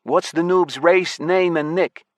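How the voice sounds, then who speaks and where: A man voicing a tall, suspicious elf guard who barks brisk questions and commands in a fantasy audiobook. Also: fairly high pitch, no room sound, voice pushed up, close to an everyday voice. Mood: urgent, commanding.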